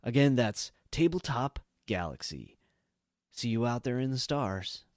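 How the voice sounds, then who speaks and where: A man's voice: medium pitch, lively intonation, a little slow, darkish tone, dry recording, clean studio sound. A man introducing himself casually as a podcast guest and tabletop player.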